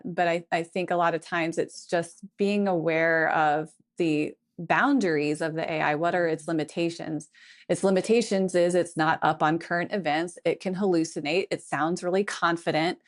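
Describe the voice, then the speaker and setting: Lower-voiced woman explaining tech carefully. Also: fairly even intonation, moderate pace. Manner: thoughtful, explanatory, lays out points in lists. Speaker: guest tech consultant. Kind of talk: conversational podcast interview.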